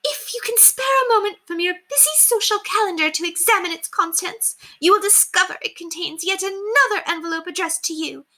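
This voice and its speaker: A woman's voice: very high-pitched, a little fast, few pauses, pushed and bright, pitched above the performer's own voice, full character voice. A woman voicing a haughty, melodramatic upper-class lady from a storybook, theatrical and gushing. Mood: icy, indignant. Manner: theatrical, gushing, indignant exclamations. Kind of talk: storybook character voice read aloud.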